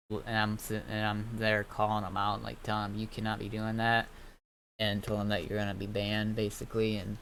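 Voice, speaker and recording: A man's voice: lowish voice, clear, resonant tone. A man casually telling a rambling, sweary anecdote over voice chat during a game stream. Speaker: game server owner and admin. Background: music.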